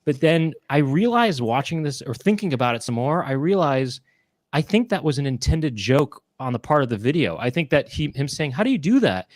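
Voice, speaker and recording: A man's voice: on the high side, very animated, fairly quick, no room sound, studio quality. A man in his thirties co-hosting a casual live online chat show from a small room at home, talking into a handheld mic in a rambling, self-correcting way. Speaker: co-host. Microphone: handheld mic with headphones.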